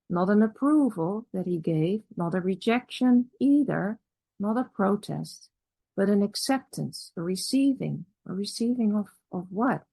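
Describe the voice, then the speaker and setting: A woman whose first language is Dutch, giving a measured, reflective academic talk at a conference with pauses and restarts. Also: mid-range voice, creaky, dark tone, no room sound. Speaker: conference speaker.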